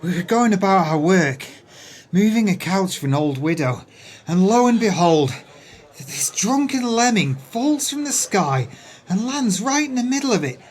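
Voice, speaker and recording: A man's voice: sits high, measured pace. An actor playing a florid, ingratiating swindler in an audio drama, telling his tale with theatrical relish. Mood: gleeful, boastful. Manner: florid, animated storytelling. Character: smooth-talking male swindler, florid and ingratiating.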